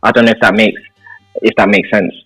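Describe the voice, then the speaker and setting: A man's voice: on the low side, flat delivery, a little fast, fairly bright tone, poor audio. Young man chatting, music behind. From London, England. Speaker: radio guest. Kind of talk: casual reflective interview chat. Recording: background music under the talk.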